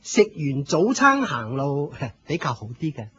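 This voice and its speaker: An actor playing a proud, jealous fire-sect leader in a Cantonese radio drama, with a pompous, theatrical manner and a Hong Kong accent. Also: male voice, a little high, sing-song, unhurried, pushed and bright, slight background noise, full character voice. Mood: courteous. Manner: pompous, theatrical, shifting from courteous to scornful. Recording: dull lo-fi radio drama recording.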